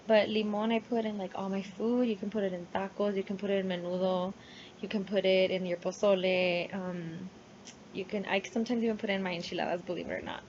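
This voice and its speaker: A woman's voice: medium pitch, fairly even intonation, brisk, darkish tone, dull audio, slight background noise. A young woman from New Mexico whose first language is Spanish, giving a casual self-introduction as a college student and often adding "like" and "um" as she talks. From New Mexico, United States. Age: young adult. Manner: chatty, many fillers and "like"s. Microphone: laptop webcam mic.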